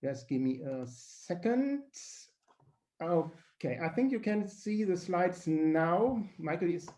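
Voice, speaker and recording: A man with a German accent, a teacher presenting physics slides on a video call in earnest, slightly halting phrasing. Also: high voice, animated, darkish tone.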